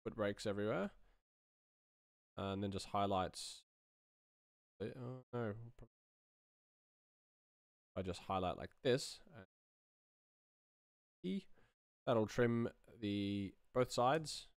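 Slow, gravelly man, laid-back. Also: fairly low pitch, no echo. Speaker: sound designer streaming his work. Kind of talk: casual livestream commentary. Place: small room indoors. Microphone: close studio microphone.

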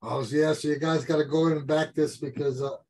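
A man's voice: fairly high pitch, steady tone, fast talker, darkish tone. A man co-hosting a casual interview on a video call and putting questions to the guests. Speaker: co-host reading audience questions. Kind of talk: casual chat.

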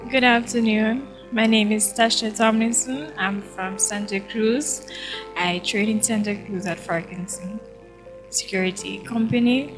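A woman's voice: medium pitch, steady tone, measured pace, low-quality recording. A young Jamaican woman, a trainee, giving a plain, slightly shy testimonial into a handheld mic indoors. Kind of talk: short testimonial. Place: indoor room, seated. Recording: background music.

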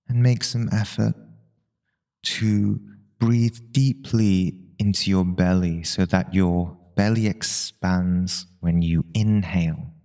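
A man with a deep voice guides a meditation at an extremely slow pace. His delivery is calm and very even, with little rise or fall. He gives gentle instructions in short phrases, leaving long pauses between them. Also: creaky.

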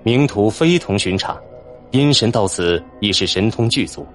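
A man with a fairly low voice narrates a fantasy audiobook. His delivery is dramatic and animated in pitch, with faint music underneath. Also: moderate pace.

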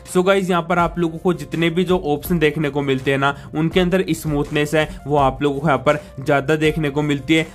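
A man giving an informal tech review to viewers, explaining things in a wordy, repetitive way with constant filler phrases. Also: on the high side. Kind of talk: informal phone update review addressed to viewers. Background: background music.

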